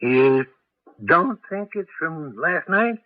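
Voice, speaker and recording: An anxious old grandfather who grumbles and hesitates through a 1980s radio drama. His querulous voice is high for a man, and the broadcast recording is muffled. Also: expressive, slow pace, dry recording. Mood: bewildered, doubtful.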